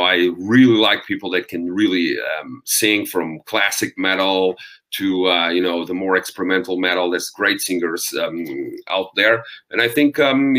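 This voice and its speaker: Deep-voiced Portuguese metal singer for an interview, low and bright, hesitant pauses. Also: male voice. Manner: thoughtful, frequent ums and restarts. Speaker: metal vocalist guest. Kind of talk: interview conversation.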